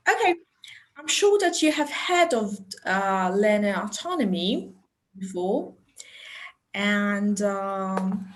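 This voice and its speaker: A woman presenting a teacher-training webinar over a video call, chatty and unhurried, with light laughs and fillers. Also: a little high. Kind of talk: friendly online teacher talk.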